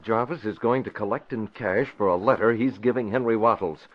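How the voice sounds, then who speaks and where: An actor playing a commanding, earnest young newspaper publisher who secretly fights crime, in 1940s radio style. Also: male voice, fairly low pitch, a little fast, close to an everyday voice. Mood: determined. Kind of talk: 1940s radio drama acting. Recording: dull vintage radio broadcast.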